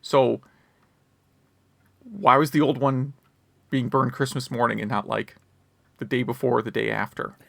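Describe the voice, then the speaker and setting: A man's voice: medium pitch, level tone, unhurried, vocal fry, pauses often, fairly bright tone, no echo. A man chatting casually about video games as a podcast co-host, rambling and wryly complaining.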